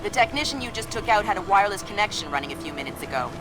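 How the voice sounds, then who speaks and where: Casting: actress as female tech analyst, medium pitch, precise briefings, dry wit, loud score underneath. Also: lively intonation, racing, music in the background, lightly acted. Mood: efficient. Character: crisp female intelligence analyst, efficient and dryly witty. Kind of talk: video game voice acting.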